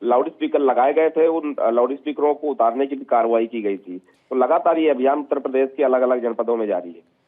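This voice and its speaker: A man giving a live, unscripted news report as a field reporter, halting and repetitive with stock phrases. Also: mid-range voice. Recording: tinny phone-like live link.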